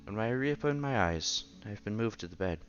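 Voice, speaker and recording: A man reading a visual novel aloud as a streamer, with playful, casual asides and quiet game music behind him. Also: on the low side, expressive, moderate pace. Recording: slightly dull, faint game music behind.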